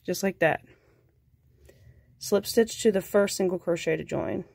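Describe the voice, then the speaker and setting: A woman with a cold, sounding nasal, calmly talking through a crochet tutorial step by step and counting stitches aloud. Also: fairly low pitch, unhurried, gravelly, breathy edge, no room sound. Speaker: crochet instructor.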